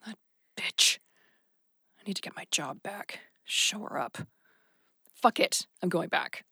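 An actress playing a tough, embittered policewoman in an audio drama, with a creaky voice and a defiant, determined manner. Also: on the low side, lively intonation, takes it slowly, bright, forceful tone, in a whisper, exaggerated voice. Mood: bitter resolve. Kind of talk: naturalistic audio drama acting.

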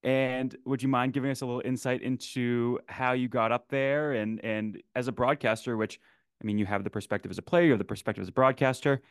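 A man's voice: mid-range voice, steady tone, fairly quick, clean tone, no echo, clean studio sound. A man hosting a podcast, politely asking long multi-part interview questions. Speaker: podcast host.

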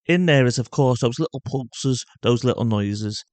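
A man's voice: medium pitch, moderate pace, over music. A man hosting a podcast, delivering scripted narration. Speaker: podcast host.

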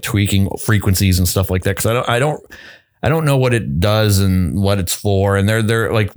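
A man with a lowish voice and a noticeable vocal fry chats casually as a podcast co-host. He rambles, restarting his sentences and filling pauses with "um" and "like." He is recorded close on a studio microphone. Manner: rambling, many restarts and fillers. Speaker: podcast co-host and audio editor. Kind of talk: casual chat. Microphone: close studio mic.

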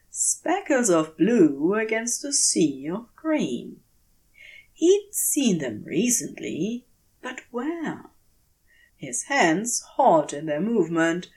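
Slow, expressive woman storyteller. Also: medium pitch. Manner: measured, dramatic storytelling. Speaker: audiobook narrator. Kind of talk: expressive scripted fiction narration.

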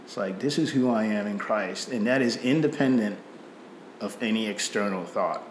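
A man's voice: lowish voice, moderate pace, echoey room, slight background noise, rough recording. A middle-aged man from Nigeria with a slight British-tinged Nigerian accent, speaking reflectively about faith, often repeating words and using fillers. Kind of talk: faith conversation.

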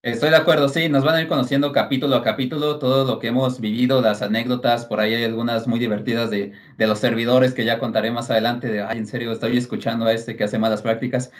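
A Mexican man co-hosts a podcast in a casual, conversational way. His voice sits at an average pitch, and he rambles informally as he explains things. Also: level tone, fast, few pauses. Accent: Mexican Spanish. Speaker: podcast co-host. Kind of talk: casual chat.

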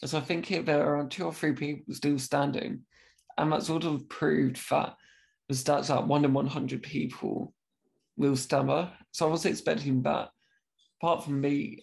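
A man's voice: on the high side, flat delivery, gravelly, darkish tone. A young man who stammers, talking openly about it in a casual conversation as host. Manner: speaks with a stammer, pausing and restarting words.

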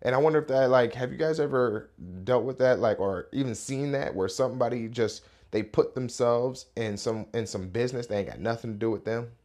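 Guy in his 30s doing a chill morning podcast, voice kinda hoarse and scratchy, rambles with lots of ums. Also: mid-range voice, a little fast, hardly any pauses. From Rochester, New York area. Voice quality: relaxed, rambling delivery with many fillers. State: hoarse, slightly raspy voice. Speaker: podcast host. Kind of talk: casual morning podcast chat.

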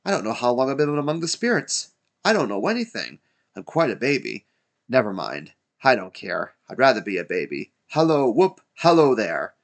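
Storybook role: giddy reformed old miser, male narrator acting, exclaiming and repeating himself, very animated pitch. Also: vocal fry, heavily acted. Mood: giddy, carefree. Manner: breathless exclamations, rapid gleeful repetition.

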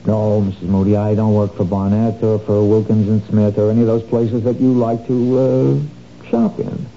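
An actor playing a sly man at a door who insinuates in a halting way in a 1970s radio drama. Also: lowish voice, flat delivery, mellow, lightly acted. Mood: insinuating. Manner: halting, insinuating, full of uhs. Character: sly, hesitant young blackmailer. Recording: dull old radio broadcast.

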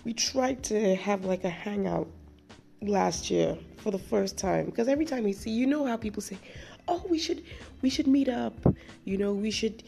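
A woman's voice: medium pitch, normal speed, gravelly. A woman hosting her own podcast, still getting over a cold with a chesty cough, telling a rambling story in a casual, chatty way with frequent restarts and laughs. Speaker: podcast host.

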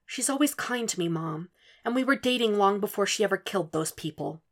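A woman's voice: lively intonation, a little fast, close to an everyday voice. A woman voicing a distraught young woman who argues on the phone and pleads for reassurance. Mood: pleading. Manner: pleading, high-strung, shouting in anger.

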